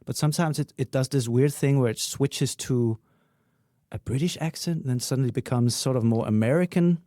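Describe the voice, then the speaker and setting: A man's voice: mid-range voice, brisk, mellow, dry recording, studio quality. A man in a casual podcast discussion, explaining things in a conversational way.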